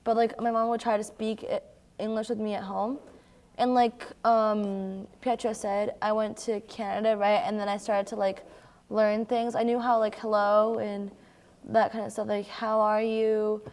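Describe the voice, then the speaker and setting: A young girl from Brazil, a native Portuguese speaker, telling stories in casual chat with a halting, filler-heavy youthful manner. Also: mid-range voice, level tone, darkish tone. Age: child or young teen. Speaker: young newcomer sharing experiences.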